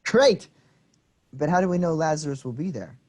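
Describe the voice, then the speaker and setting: An actor playing an eager, deferential disciple who earnestly prods and flatters his boss in a comic play reading. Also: fairly high pitch, moderate pace, lightly acted. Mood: agreeable.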